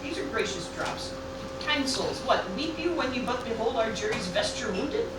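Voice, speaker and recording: A woman's voice: fairly high pitch, animated, moderate pace, natural voice. A woman playing an impassioned funeral orator in a declamatory amateur Shakespearean radio play. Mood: mournful. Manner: declamatory, theatrical. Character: impassioned funeral orator stirring a mourning crowd. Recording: very echoey, distant, noisy live room.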